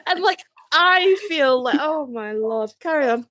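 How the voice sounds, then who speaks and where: A woman's voice: high-pitched, expressive, measured pace, fairly bright tone, dry recording, studio quality. A woman in her thirties co-hosting a podcast with casual, playful, exclamatory banter. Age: 30s. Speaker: podcast co-host.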